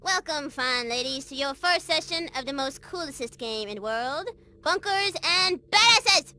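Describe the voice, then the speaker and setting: A woman's voice: high voice, lively intonation, a little slow, pushed and bright, heavily acted. A woman voicing a hyperactive, unhinged teenage girl game master who tells her story in theatrical slang for a video game. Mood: showy, excited. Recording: music behind the voice.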